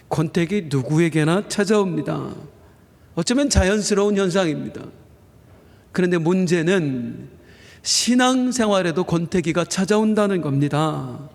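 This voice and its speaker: A male senior pastor preaching a Sunday sermon in earnest, slowing down for emphasis and rising on key phrases. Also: high voice, creaky. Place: church sanctuary. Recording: reverberant church hall.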